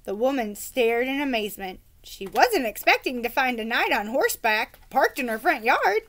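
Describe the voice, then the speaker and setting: A woman reading a children's book aloud as an expressive storyteller. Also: a little high, moderate pace.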